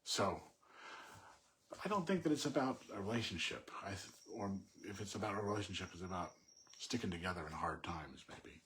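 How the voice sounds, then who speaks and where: A man informally analysing a poem, thinking aloud in a halting, reflective way with pauses between short phrases. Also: sits low, expressive, fairly bright tone, a little hiss or hum. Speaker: poetry commentator.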